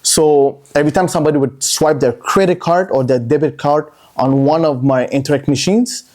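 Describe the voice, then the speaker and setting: A man's voice: on the high side, moderate pace, a little mellow. A man from Montreal, a podcast guest and entrepreneur, telling his story in a chatty, looping way that repeats words. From Montreal, Canada. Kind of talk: casual podcast interview.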